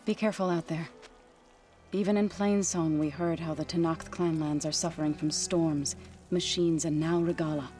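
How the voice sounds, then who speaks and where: Low-voiced, thoughtful tribeswoman played by an actress. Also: steady tone, moderate pace, warm tone, faint music behind, natural voice. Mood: thoughtful, concerned. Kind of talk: naturalistic video game cutscene acting.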